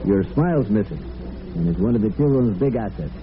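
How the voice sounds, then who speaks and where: An actor playing a kindly clergyman in old-time radio drama, gentle and reassuring. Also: lowish voice, lively intonation, fairly quick, close to an everyday voice. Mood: comforting. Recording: noisy, tinny vintage radio recording.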